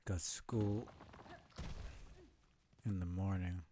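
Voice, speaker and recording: A man's voice: low voice, steady tone, very slow, dark tone, a little hiss or hum. A young man casually talking to himself while streaming a game, mumbling thoughts as he plays. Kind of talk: casual live gameplay commentary.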